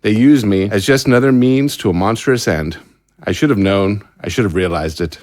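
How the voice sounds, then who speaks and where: Guy playing a guilty scientist prisoner in an audio drama, kinda low, articulate, resigned, very dry recording. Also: normal speed, a little mellow, no room sound, close to an everyday voice. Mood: guilty, bitter. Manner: articulate, formal, regretful. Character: guilt-ridden imprisoned male scientist, resigned and bitter.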